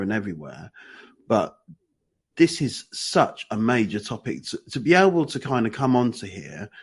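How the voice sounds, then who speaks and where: A man co-hosting a casual conversation with a croaky morning voice, rambling and emphatic, often repeating his phrases. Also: on the low side. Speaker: podcast co-host.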